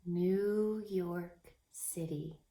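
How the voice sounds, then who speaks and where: A woman teacher reading a children's storybook aloud in an expressive, teacherly way, pausing to put questions to young listeners. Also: fairly low pitch. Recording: echoey, roomy sound.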